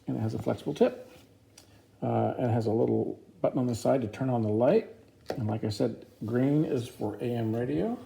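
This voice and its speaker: A man's voice: medium pitch, fairly quick, vocal fry, dark tone. A man giving a casual, informal product review, explaining features plainly step by step.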